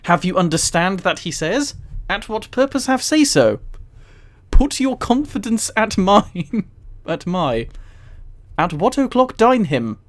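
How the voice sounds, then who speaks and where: Higher-pitched man, casual and giggly. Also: very animated, slow. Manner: giggly, easily amused. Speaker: streamer. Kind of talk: casual livestream reading with amused commentary.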